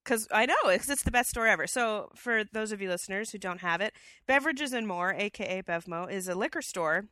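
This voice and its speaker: A woman's voice: medium pitch, brisk, hardly any pauses, pushed and bright, dry recording. Chatty woman with a scratchy cold. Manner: chatty storyteller, many fillers. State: has a cold, slightly scratchy. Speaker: podcast co-host. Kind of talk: casual chat. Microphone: headset.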